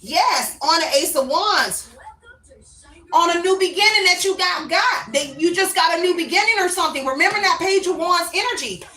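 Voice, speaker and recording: A woman's voice: extremely high pitch, expressive, moderate pace, pushed and bright, reverberant. A woman giving a casual, rambling tarot reading to her viewers. She often hedges with "I don't know" and calls the listener "baby.". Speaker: tarot reader.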